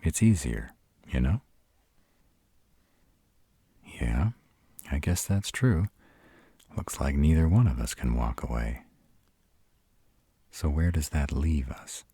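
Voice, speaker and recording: A man acts out a brooding, sarcastic vampire with an extremely low, dark voice. He talks slowly, proud and guarded, in the intimate manner of a roleplay audio drama, with a sardonic edge over a soft spot. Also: animated, breathy edge, dry recording, heavily acted. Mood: wry, guarded. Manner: sardonic, exasperated, halting when vulnerable.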